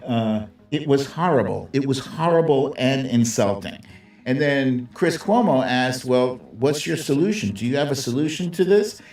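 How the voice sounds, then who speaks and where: A man's voice: medium pitch, lively intonation, normal speed, a little mellow. A man hosting a live political commentary stream in a casual, opinionated, sardonic conversational way, with salty slang. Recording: roomy echo, distant mic, music behind.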